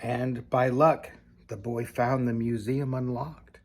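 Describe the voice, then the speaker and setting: Older man with a gravelly, husky voice. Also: mid-range voice, little pitch movement, slow, darkish tone. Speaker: grandfather reading a storybook aloud. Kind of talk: expressive children's storybook reading with casual asides.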